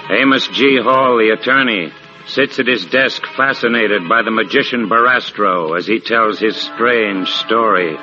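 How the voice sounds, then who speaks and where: A man's voice: lowish voice, a little slow. A male announcer reading scripted narration in the style of 1940s radio drama. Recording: muffled vintage radio broadcast over music.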